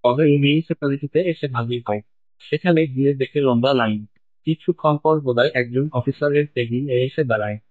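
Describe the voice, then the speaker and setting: A man's voice: medium pitch, brisk, dry recording, phone-quality sound. A man narrating a cartoon story from a script.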